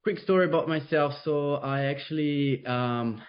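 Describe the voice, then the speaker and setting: A man's voice: fairly high pitch, normal speed. A young man from Brazil with a Brazilian Portuguese accent, a real estate agent speaking in an interview over a video call, upbeat and loose, with lots of fillers. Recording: dull lo-fi video call audio.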